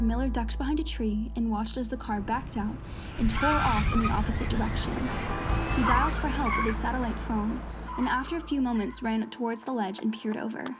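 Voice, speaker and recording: Woman acting as a dry skeptical podcast reporter lady, radio drama, slightly high voice, measured, faint music behind. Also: lightly acted. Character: composed, skeptical female investigative podcast journalist. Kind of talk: scripted radio drama interview and narration.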